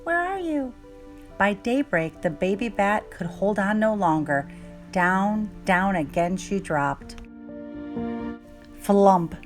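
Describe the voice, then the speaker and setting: A woman narrates a children's storybook in an expressive read-aloud style. Her medium-pitched voice rises and falls freely, with deliberate dramatic emphasis, and music plays faintly behind her. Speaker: storybook reader.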